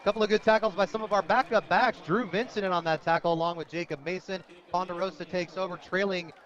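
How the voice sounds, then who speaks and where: An American man calling high school football play-by-play on the radio, excitable when a big play comes. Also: fast. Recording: dull, lo-fi outdoor radio broadcast.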